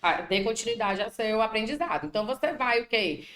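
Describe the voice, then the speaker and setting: A Brazilian woman with a Piauí accent answers interview questions as a language teacher, talking freely and tagging her sentences with "né?". Her voice sits a little high, and her pitch jumps up when she stresses a point. The room around her is echoey. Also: brisk. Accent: Piauí Brazilian Portuguese. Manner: talkative, frequent "né?" tags, animated pitch jumps.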